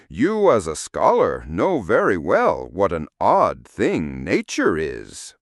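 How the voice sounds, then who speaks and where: A man voicing a living shadow turned wealthy gentleman in a storybook, self-important, boastful and condescending. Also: fairly low pitch, dry recording, studio quality, heavily acted.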